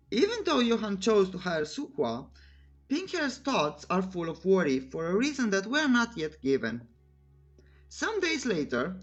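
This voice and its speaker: A narrator giving a scripted story recap in an informal, wry way, breaking off for joking asides. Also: mid-range voice. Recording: clear music bed under voice. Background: background music.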